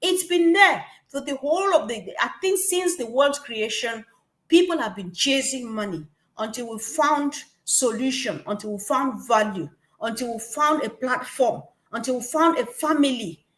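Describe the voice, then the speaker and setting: A woman from Africa, speaking at a gathering in an animated way, repeating herself and laughing between points. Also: high voice, normal speed. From West Africa. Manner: animated, repetitive, laughing asides. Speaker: guest speaker. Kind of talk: passionate testimony at a gathering. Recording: echoey room.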